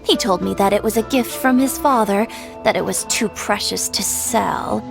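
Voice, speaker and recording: A woman's voice: mid-range voice, normal speed, vocal fry, natural voice. A woman playing a polite, composed and shrewd grocery shopkeeper in a video game, courteous and explanatory in manner. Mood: wistful. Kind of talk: video game dialogue acting. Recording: faint music behind the voice.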